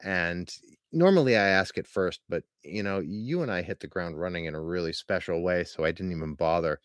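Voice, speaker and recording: A man's voice: low-pitched, brisk, no echo, clean studio sound. A man hosting a podcast interview, talking in an earnest, rambling way with many restarts. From Pennsylvania. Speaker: podcast host. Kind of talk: casual interview.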